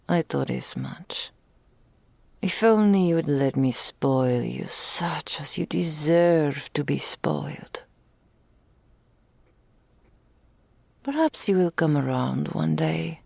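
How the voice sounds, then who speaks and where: Woman as a low-voiced, slow, doting dragon lady. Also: a little mellow, no echo, full character voice. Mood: tender, resigned. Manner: grandiloquent, slow, affectionate, with breathy whispered phrases. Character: wealthy doting female dragon, possessive, refined hoarder. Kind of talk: intimate ASMR roleplay acting.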